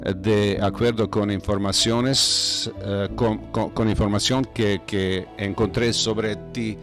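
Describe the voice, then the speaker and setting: A man hosting an interview in a language that is not his first, speaking haltingly with many pauses and fillers. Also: lowish voice, fairly even intonation, over music. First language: not Spanish. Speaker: host. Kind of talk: interview podcast. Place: home, video call.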